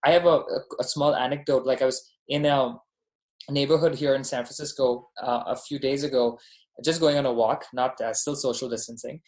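Podcast guest, male founder raised in Dubai. Medium-pitched voice, casual delivery, earnest and emphatic. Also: fairly even intonation, normal speed, a little mellow. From born in Bahrain, grew up in Dubai. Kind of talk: casual conversational interview.

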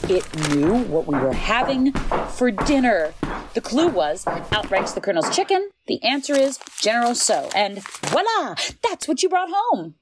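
A woman playing an excitable, superstitious and insistent woman in a two-person audio comedy play. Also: on the high side, normal speed, background noise. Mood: excited, insistent. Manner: insistent, dramatic, pleading. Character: excitable superstitious woman convinced her crossword predicts the future.

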